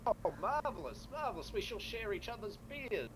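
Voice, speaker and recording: A man's voice: high-pitched, sing-song, moderate pace, fairly bright tone. A man role-playing a pompous trading company owner, speaking theatrically.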